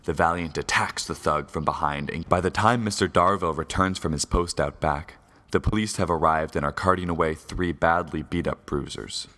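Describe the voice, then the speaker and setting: Very deep male radio-serial narrator. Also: moderate pace, few pauses, a bit bright. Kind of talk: dramatic scripted narration of old-time radio serial.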